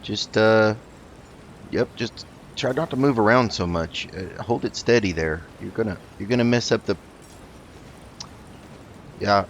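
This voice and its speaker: A man hosting a roleplay gaming video, talking in a measured, halting way as he plays and narrates. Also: on the low side, a little hiss or hum. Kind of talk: roleplay let's play commentary.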